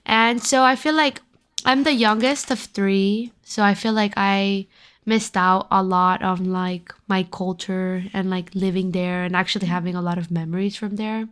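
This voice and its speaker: A woman's voice: mid-range voice, fairly even intonation, moderate pace, fairly bright tone, smooth. A woman from Venezuela with a light Venezuelan accent, a podcast guest talking hesitantly with many ums. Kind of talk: casual chat.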